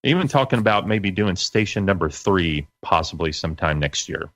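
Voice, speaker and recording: A middle-aged man explaining things step by step as an interview guest, in a measured, halting way. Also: low-pitched, animated, a bit bright, no echo.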